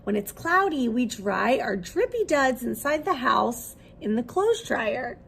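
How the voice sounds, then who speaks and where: A woman preschool teacher reading a children's book aloud expressively, with simple, deliberate questions for young listeners. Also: a little high, big pitch swings, creaky, fairly bright tone, a little hiss or hum.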